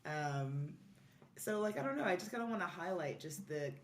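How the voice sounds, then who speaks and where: A woman's voice: deep voice, animated, normal speed, recorded from a distance. A woman chatting casually and effusively as a podcast guest, telling stories in an easy conversational way. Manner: effusive, laughs easily. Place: live in-person podcast recording in a room. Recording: roomy echo, off-mic, faint noise.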